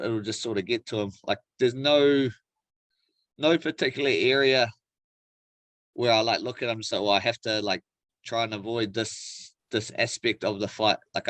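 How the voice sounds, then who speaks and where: A man's voice: fairly low pitch, a bit bright, no echo. A man with a New Zealand accent, a fighter chatting casually in an interview in laid-back, slangy phrases. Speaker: professional MMA fighter being interviewed.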